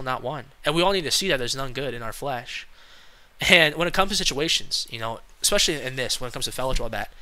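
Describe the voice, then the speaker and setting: Young male lay preacher, twenties, very bright tone, earnest and halting with fillers. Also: mid-range voice. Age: 20s. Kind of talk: casual spoken sermon to camera.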